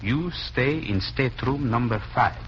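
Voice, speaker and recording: An actor playing a composed Turkish secret agent, polite, deliberate and formal in a vintage radio drama. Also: male voice, medium pitch, expressive, fairly bright tone. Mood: confiding, assured. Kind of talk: 1940s radio drama acting. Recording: muffled, noisy old radio recording.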